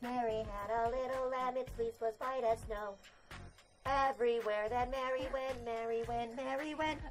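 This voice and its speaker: A man putting on a squeaky, thin, cartoonish little voice from inhaled helium, sing-song and playful. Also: fairly even intonation, normal speed, music in the background, poor audio. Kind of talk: sing-song nursery rhyme and show sign-off.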